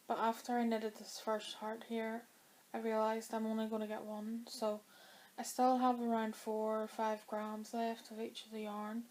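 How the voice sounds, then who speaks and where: Flat-voiced woman with a dry throat. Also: fairly high pitch. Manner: chatty and rambling, with many fillers. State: dry throat, coming down with a cold. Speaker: knitting vlogger. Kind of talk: casual chat to camera.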